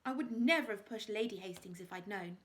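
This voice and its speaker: A cornered young man owning up in a halting, subdued way, voiced by a woman. It stays near the reader's own pitch, in a storybook reading. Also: fairly bright tone, lightly acted. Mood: confessing.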